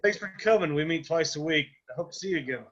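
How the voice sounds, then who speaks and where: An actor at an online table read playing an easygoing, world-weary support group leader in a drama, over lo-fi call audio. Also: male voice, a little high, lively intonation, fast talker, fairly bright tone. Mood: welcoming. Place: remote video call.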